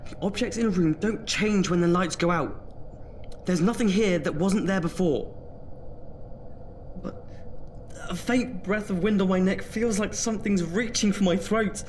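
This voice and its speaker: An actor playing an anxious young British student in an audio drama, nervously talking himself through his fear of the dark. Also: high-pitched, measured pace, long pauses, slight background noise. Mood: nervous, self-reassuring. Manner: jittery, muttering self-talk with sighs. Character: anxious student afraid of the dark, self-scolding.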